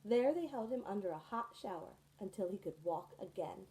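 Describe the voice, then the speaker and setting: A woman reads a children's picture book aloud to her students, and her mellow, soft-edged voice carries the story along. She storytells expressively and stops for friendly asides to her young listeners. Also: medium pitch, lively intonation, normal speed, poor audio. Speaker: teacher reading to her students.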